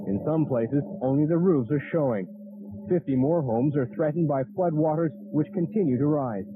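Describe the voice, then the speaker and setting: A man's voice: a little high, normal speed. A man giving a scripted news report as a reporter. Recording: tinny archival news audio, faint noise.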